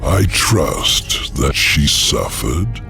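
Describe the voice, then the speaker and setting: An actor playing an imperious, manipulative demon lord in a video game, with a deep, gravelly voice and a grandiose, commanding manner. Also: male voice, very animated, drawn-out words, full character voice. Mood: brooding, scheming. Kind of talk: video game fantasy acting. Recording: dramatic music behind voice.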